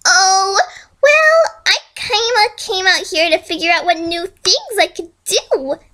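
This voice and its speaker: A manic female pony villain from a storybook, acted out by a woman reading aloud. She is high and girlish, with big swings in pitch. She sounds bubbly and chatty while being casually menacing. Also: animated, slow pace, pushed and bright, heavily acted. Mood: giddy, confiding. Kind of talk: amateur storybook fan fiction reading.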